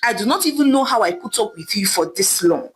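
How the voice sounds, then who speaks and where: A woman voicing a wronged husband who shouts angrily at his wife. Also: medium pitch, animated, fairly quick, faint music behind, lightly acted. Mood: angry. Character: wronged husband, fed up and fuming.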